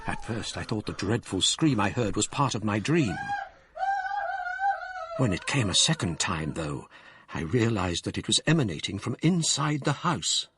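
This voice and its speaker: A man giving grave, measured narration of a period ghost story for radio drama. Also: on the low side, big pitch swings, background noise.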